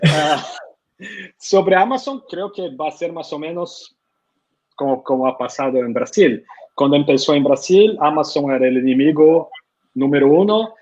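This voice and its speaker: A man's voice: a little high, normal speed, a little mellow, dull audio. A man from Brazil with a Brazilian Portuguese accent, a publishing consultant answering questions in Spanish at an online conference, with frequent fillers and restarts. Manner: hesitant non-native Spanish with many fillers and restarts. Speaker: publishing consultant, guest speaker.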